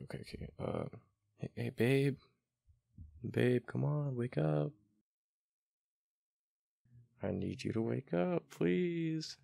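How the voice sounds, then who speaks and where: A man voicing a flustered, affectionate young gamer boyfriend in a boyfriend ASMR roleplay, with a deep, gravelly, halting murmur. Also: a little mellow, no room sound, exaggerated voice.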